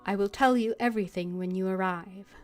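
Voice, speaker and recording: A woman streaming a game, reading dialogue and notes aloud in character with measured expression. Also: mid-range voice. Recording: faint music behind the voice.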